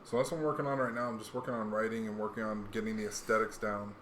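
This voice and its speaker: A man's voice: on the low side, flat delivery, very fast, a bit bright. An American man from Hawaii chatting casually as a podcast co-host, rambling along with plenty of slang and swearing. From Hawaii, United States. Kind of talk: casual chat. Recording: roomy echo, faint background noise.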